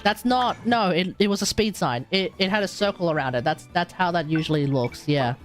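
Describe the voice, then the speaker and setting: A man chatting casually and excitably on a gaming livestream, rambling and correcting himself as he goes. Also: high-pitched, a little fast, soft background music. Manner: animated, rambling, exclamatory, self-correcting. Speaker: live streamer.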